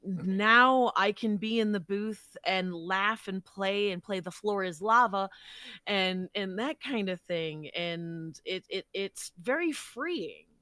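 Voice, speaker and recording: A woman's voice: medium pitch, slow, pushed and bright, no echo. A woman hosting a podcast in a casual, chatty and encouraging way. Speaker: podcast host, voice actor. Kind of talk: casual chat.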